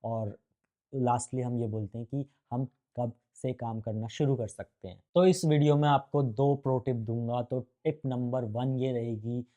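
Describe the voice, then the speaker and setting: A man's voice: mid-range voice, steady tone, a little slow, warm tone, muffled recording. A man from India giving a casual freelancing lesson, chatty and speaking straight to the viewer, slipping English phrases into his talk. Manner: chatty, mixes English phrases into Hindi, addresses viewer directly. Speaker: freelancing course instructor. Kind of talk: casual tutorial talk to camera.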